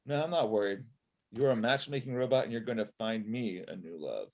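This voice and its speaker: A man's voice: mid-range voice, animated, brisk. A man playing a self-assured matchmaking boss with a casual manner in a comedy table read on a video call. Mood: breezy. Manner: casual, offhand. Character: breezy, self-assured male matchmaking business owner. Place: indoor room at home. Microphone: video call, sitting back from laptop.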